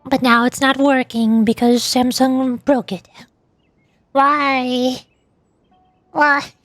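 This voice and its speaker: A woman's voice: sits high, flat delivery, slow, fairly bright tone, dry recording, soft background music. A tired, sleepy woman chatting casually and rambling on a livestream as a VTuber. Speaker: VTuber streamer and amateur voice actor.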